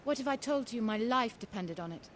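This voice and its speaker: Woman playing a sly, high-voiced noble schemer. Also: lively intonation, a little hiss or hum, natural voice. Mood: persuasive. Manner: genteel, measured, persuasive. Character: poised, cunning noblewoman schemer, coaxing and sly. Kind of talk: video game dialogue acting.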